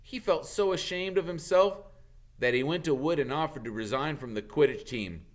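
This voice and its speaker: A man, a teacher, reading a storybook aloud with expressive narration. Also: on the high side, normal speed.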